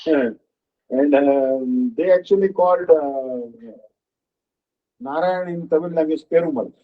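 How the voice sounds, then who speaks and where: A man giving a devotional discourse as a spiritual teacher, halting often and checking in with the listeners. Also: a little high. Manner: halting, with frequent "uh" and questioning "huh?". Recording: dull lo-fi recording.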